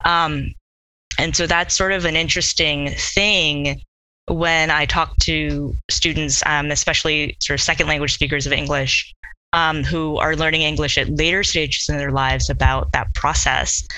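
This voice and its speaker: A woman's voice: low voice, pushed and bright, dry recording, rough recording. A woman whose first language was Korean, talking in an interview about her teaching in a reflective way, with many fillers and hedges. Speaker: law instructor, interviewee. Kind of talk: conversational interview.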